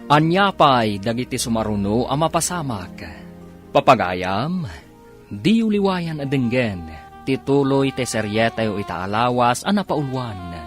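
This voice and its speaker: A man's voice: mid-range voice, sing-song, measured pace. A male announcer narrates a radio serial with formal, dramatic weight. He takes his time over each phrase, in a mid-range voice, with music playing underneath. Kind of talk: scripted radio narration and credits.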